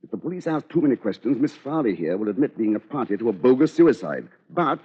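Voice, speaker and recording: An actor playing a scheming, precise lawyer in an old radio drama, with coolly confiding delivery. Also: male voice, medium pitch, very animated, fast talker, husky. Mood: brisk, calculating. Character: scheming, coolly calculating male lawyer narrating his crime. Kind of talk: 1940s radio drama acting, first-person narration. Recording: dull, lo-fi old radio recording.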